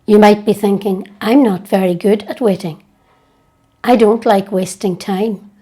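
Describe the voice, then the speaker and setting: A woman leading a guided prayer meditation in a gentle, hushed, unhurried way with long pauses. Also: medium pitch, fairly even intonation, breathy edge.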